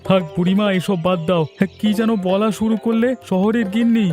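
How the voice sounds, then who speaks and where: A man's voice: sits high, steady tone, normal speed, darkish tone, faint music behind, lightly acted. A man playing a bashful but cheeky young village lad in a cartoon story, protesting as he talks. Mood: protesting, curious. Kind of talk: dubbed cartoon story acting.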